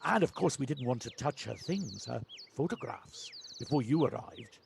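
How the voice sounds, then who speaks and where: A man's voice: medium pitch, lively intonation, normal speed, gravelly. A man playing a wise, affectionate village handyman in an audio play, plain-spoken and reassuring. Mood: tender. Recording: amateur home recording with background noise.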